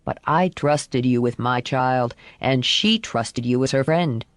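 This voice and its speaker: Woman voicing a low, grave, formal father. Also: no echo. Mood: stern, disappointed. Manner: measured, formal, restrained. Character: stern, controlled man, grave protective father, formal. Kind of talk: audiobook character voice.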